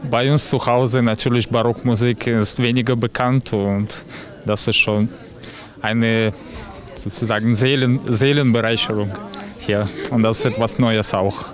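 A young man from Russia, a concertgoer speaking softly and groping for words outdoors. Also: medium pitch, steady tone, unhurried. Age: young adult. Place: outdoors by a church. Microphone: handheld reporter mic.

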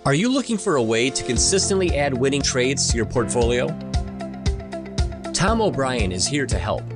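An announcer reading a scripted promotional ad as an upbeat, animated sales pitch. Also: moderate pace. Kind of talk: scripted ad read. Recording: loud music bed under the voice.

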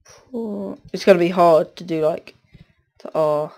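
A man's voice: high voice, unhurried, darkish tone. A teenage boy with a stuffy cold, rambling through casual gameplay commentary and often breaking off mid-sentence. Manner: rambling, self-interrupting, distracted. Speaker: gaming commentator.

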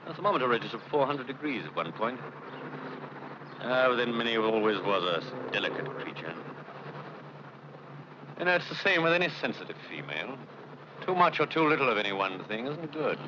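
An actor playing a wry, philosophical research scientist who teases and muses in 1950s film style. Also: male voice, medium pitch, sing-song, fairly quick, pauses often, natural voice. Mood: wry, musing. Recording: muffled noisy 1950s film soundtrack.

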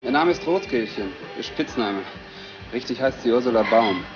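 A man's voice: mid-range voice, animated, normal speed, close to an everyday voice. An actor playing a breezy, teasing man who gossips about customers in a crime radio play. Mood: chatty. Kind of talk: German crime radio drama acting. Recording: dull lo-fi sound, music behind.

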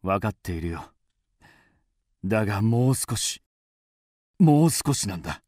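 A man's voice: on the low side, expressive, unhurried, very noisy. A man playing a loyal, driven master swordsmith, earnest and intense, in a radio drama. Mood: obsessed, weary.

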